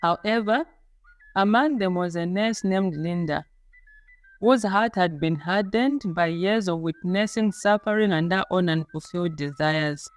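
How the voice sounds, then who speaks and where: A woman reading a scripted folktale as a storyteller, in a measured, dramatic storybook delivery. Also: on the low side. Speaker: storyteller, narrator. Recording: music playing under the voice. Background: background music.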